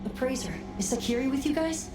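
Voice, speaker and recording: A woman's voice: sits high, lively intonation, brisk. Echoey far-off voice, analytical female teammate, precise, formal, anime game. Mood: businesslike. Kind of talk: anime-style video game voice acting. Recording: echoey, distant, music behind.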